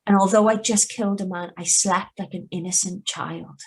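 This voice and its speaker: A woman playing a young wife who calmly confesses to murder in a live reading of a radio thriller. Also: medium pitch, normal speed, natural voice. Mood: calm, confessional. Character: young wife Patrice, calm remorseless killer confessing. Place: video call reading from home.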